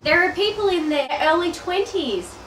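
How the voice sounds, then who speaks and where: A woman's voice: very high-pitched, lively intonation, normal speed, background noise. An Australian woman in her thirties with a stuffy nose from a cold, talking chattily to the viewer as an online business coach. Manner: chatty, addresses the viewer directly. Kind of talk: direct-to-camera video message. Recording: roomy, a bit distant from the mic.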